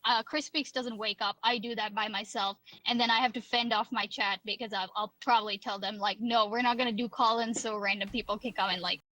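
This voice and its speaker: A woman's voice: a little high, steady tone, a little fast, few pauses, pushed and bright, poor audio. A woman streamer on a call, talking casually and hesitantly, often restarting her sentences.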